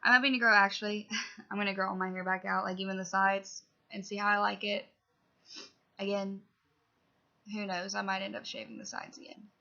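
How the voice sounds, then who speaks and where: A woman's voice: medium pitch, little pitch movement, a little fast, creaky, long pauses, sharp tone. A young woman chatting casually to camera in a rambling vlog update from home, sniffling from a cold, filling her talk with asides and fillers. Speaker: vlogger. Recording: dull lo-fi audio.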